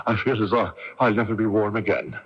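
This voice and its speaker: A man's voice: fairly low pitch, normal speed, breathy edge. An actor in a radio drama playing a nervous, evasive Irish patriot, stammering and hesitant as he speaks. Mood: weary, anguished. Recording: dull lo-fi radio broadcast tape.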